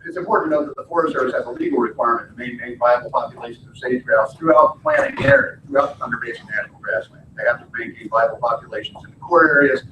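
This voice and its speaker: A man's voice: medium pitch, sing-song, rapid-fire, hardly any pauses, background noise. A man making an insistent, legalistic comment at a public meeting. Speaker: meeting participant. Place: large conference room. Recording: echoey, distant, muffled room sound.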